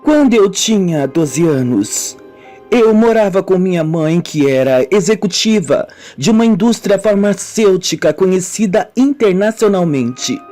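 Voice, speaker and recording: A woman acting a slow, wounded Brazilian woman confessing. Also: mid-range voice, animated, mellow, close to an everyday voice. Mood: wistful, bitter. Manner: slow, melodramatic, deliberate storytelling. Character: Simone, wounded woman confessing her painful childhood, slow and brooding. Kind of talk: amateur radio soap acting. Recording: music bed under the voice.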